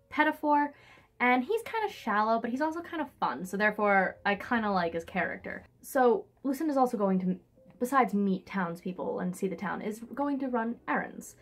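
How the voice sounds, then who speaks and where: A young woman giving a casual, sarcastic book recap with theatrical read-aloud bits, swearing freely. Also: medium pitch. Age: young adult. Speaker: book reviewer, host. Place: indoors, small room in front of a hung sheet. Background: classical music.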